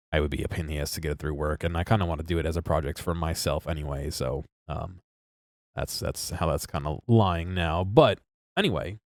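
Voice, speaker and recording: A man with a very low voice and a congested, stuffy sound talks casually to his stream chat. He rambles, restarts his sentences and laughs at himself. The sound is close and dry, with no room around it. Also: no echo.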